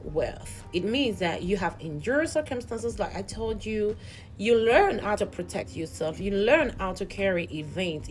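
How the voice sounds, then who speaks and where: A woman's voice: fairly low pitch, a little mellow, poor audio. A woman hosting a channel, giving an earnest, reflective spiritual motivational talk that often repeats and restarts words. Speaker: host.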